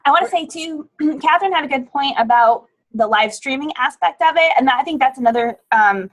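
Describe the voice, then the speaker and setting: A woman's voice: sits high, expressive, a little fast, a bit bright, rough recording. A woman speaking as a podcast guest on a video call, thoughtful and a bit rambling. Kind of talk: casual video call chat.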